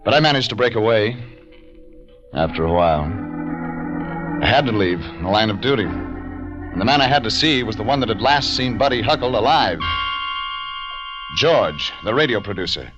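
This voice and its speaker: A man acting a tough private eye in an old-time radio detective drama, clipped, wry and deadpan. The recording is dull and vintage, with prominent organ music behind the voice. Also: on the low side, moderate pace, pauses often, natural voice. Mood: narrating, dry. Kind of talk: 1940s radio detective drama, narration and dialogue.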